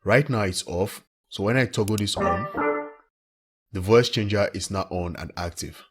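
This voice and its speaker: Low, husky male tutorial presenter. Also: moderate pace, faint music behind. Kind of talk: step-by-step software tutorial narration.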